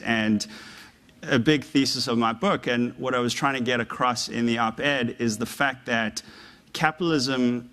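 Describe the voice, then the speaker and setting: A man in his thirties from South Africa, with a South African accent softened by years in America, giving a conversational, halting public talk to a university audience in a lecture room. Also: medium pitch. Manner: conversational, halting, often repeating words. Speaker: guest speaker.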